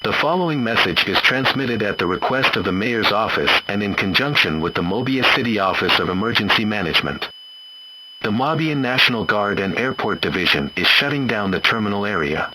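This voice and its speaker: A man's voice: lowish voice, lively intonation, brisk, natural voice. A man playing a stern official announcer reading an emergency broadcast message. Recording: faint hum over radio-style alert.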